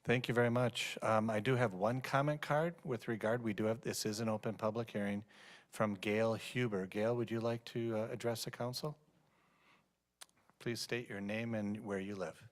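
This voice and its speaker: A man's voice: on the low side, steady tone, moderate pace. A man chairing a city council public hearing, calling speakers politely and procedurally. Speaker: council chair running the meeting. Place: council chambers.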